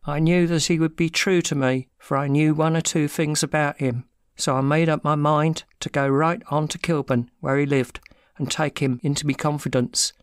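A man's voice: a little high, fairly even intonation, moderate pace, clear, resonant tone, no room sound, studio quality, close to an everyday voice. An actor playing an anguished working man confessing to a theft in a dramatic audiobook, telling his story with self-pity. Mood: anxious recounting.

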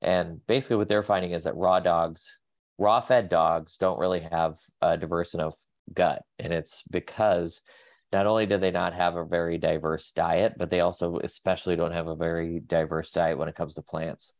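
A man's voice: low voice, fairly even intonation, moderate pace, dry recording. A man in his thirties with a nasal voice and a cold, rambling with many fillers as a guest on a casual video call. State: sick with a cold. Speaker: guest, pet nutrition company staff. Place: home office.

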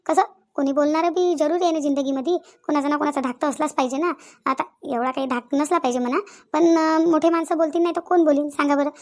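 A woman's voice: high-pitched, steady tone, quick pace, darkish tone. A woman playing insistent, talkative village women in a comedy cartoon, with a rural Vidarbha Maharashtrian accent and a habit of repeating phrases. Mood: insistent, reasoning. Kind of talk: Marathi comedy cartoon dialogue.

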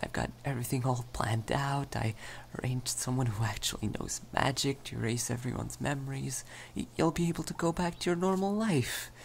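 A man voicing a shy, flustered young male vampire in a soft ASMR roleplay, stammering and hesitant. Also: medium pitch, a little fast, vocal fry, hardly any pauses, slight background noise, close to an everyday voice. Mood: apologetic, sad.

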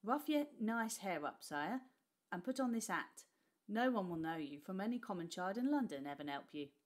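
A woman reading a storybook aloud, putting on a rough, mocking old widow of the London poor who talks coarse and brash. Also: medium pitch, animated, moderate pace, lightly acted. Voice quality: coarse, scoffing delivery with dropped sounds.

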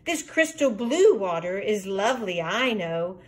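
An older woman voicing an excitable, insistent female fish in a rhyming storybook, speaking emphatically. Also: medium pitch, a little slow. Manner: emphatic, contradicting.

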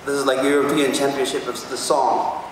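A man's voice: mid-range voice, flat delivery, fairly quick, a loud crowd. A man in his thirties from Albania talking casually and enthusiastically as a musician on a press panel, in a rambling way. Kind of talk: casual, informal press conference talk. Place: large press conference stage, indoors. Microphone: handheld PA mic, picked up by a distant camera. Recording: echoey hall from far off, noisy.

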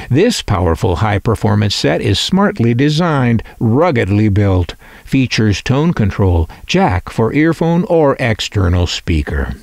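Man, unhurried narrator reading product copy, animated pitch, deliberate item-by-item delivery. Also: no echo, clean studio sound. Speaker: presenter of a vintage catalog. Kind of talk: scripted narration, reading catalog copy aloud.

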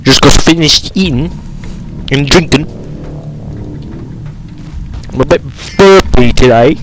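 A man's voice: on the high side, slow pace, a little hiss or hum, poor audio. A man gaming and commentating in a hammy fake British accent. He swears a lot and rambles as he plays. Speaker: gamer. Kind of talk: gameplay commentary. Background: game music and gunfire.

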